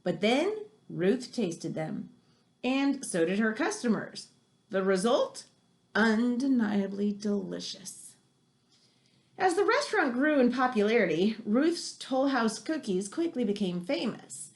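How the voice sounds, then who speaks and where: A woman reads aloud for children in a fairly high voice with lots of melodic movement. She is animated and chatty, slipping from the text into casual, exclamatory asides. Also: slow. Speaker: reader reading a nonfiction book aloud for children.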